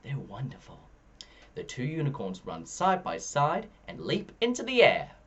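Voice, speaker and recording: A man reading a children's story aloud expressively, shifting his pitch between narration and dialogue. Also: wildly swooping pitch, normal speed, fairly bright tone, muffled recording. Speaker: storybook reader.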